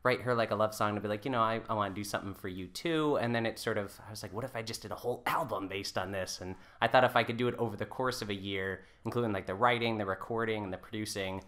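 A man's voice: lowish voice, quick pace, few pauses, a bit bright. A man in his twenties chatting casually as a guest in an interview. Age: 20s. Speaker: podcast guest, folk musician.

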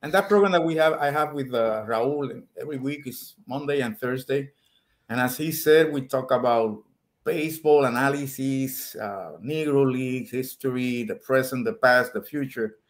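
A man's voice: medium pitch, moderate pace. Friendly older male historian. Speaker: baseball historian and show regular. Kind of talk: casual video call chat.

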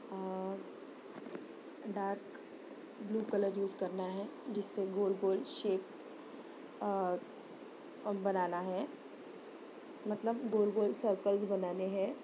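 A woman gives an unscripted step-by-step craft demonstration, hesitant and pausing often. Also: medium pitch, background noise. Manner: halting, hesitant, with frequent pauses. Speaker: craft tutorial host.

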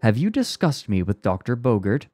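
A man's voice: medium pitch, sing-song, moderate pace, a little mellow, no room sound, soft background music, voice pushed up. A man voicing an aged, impatient research director in an audiobook, stiff and insistent. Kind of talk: audiobook character voice.

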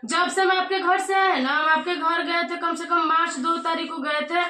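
A woman with an eastern Hindi-belt accent speaks in a very high, bright and forceful voice. She remonstrates insistently, as in a phone conversation, repeating herself to press her point. The sound is echoey, like a small bare room. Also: fairly even intonation, normal speed. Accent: eastern Hindi belt (Bihar/eastern UP). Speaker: vlogger. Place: small bedroom indoors. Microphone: camera a short distance away. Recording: echoey small room, faint noise, clicks.

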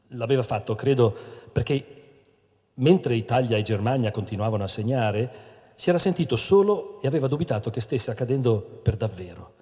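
A middle-aged man reading a book passage aloud on stage with a handheld mic, in an expressive, theatrical way, then moderating. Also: medium pitch. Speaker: reader and moderator. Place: stage in a large hall. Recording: long hall reverb.

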